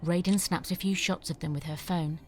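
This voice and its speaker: Low-pitched woman narrating a screenplay. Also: steady tone, fairly quick, a little mellow, soft background music. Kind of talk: scripted narration of stage directions.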